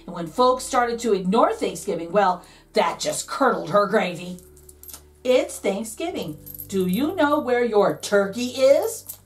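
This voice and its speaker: A woman's voice: medium pitch, animated, a little slow, distant mic, soft background music. A woman reading a picture book aloud to children, dramatic and playful, drawing out key words for emphasis. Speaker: read-aloud host, storyteller.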